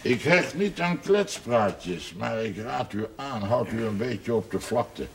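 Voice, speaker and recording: An actor playing a blunt, self-assured village man who gives gruffly kind advice in a television period drama. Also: medium pitch, expressive, slow pace. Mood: gruff, advising. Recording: noisy old TV soundtrack.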